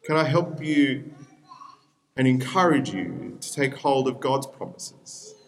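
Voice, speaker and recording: A man's voice: mid-range voice, very animated, takes it slowly, vocal fry, a little hiss or hum, rough recording. A young man from Peru whose first language is Spanish, preaching a sermon as pastor in an earnest way with repeated appeals.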